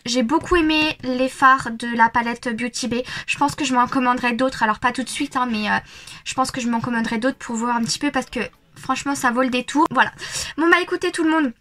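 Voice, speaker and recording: High-voiced chatty young woman vlogger. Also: a bit bright. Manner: chatty, frequent hesitations and repeated words. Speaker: beauty vlogger. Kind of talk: casual makeup review vlog.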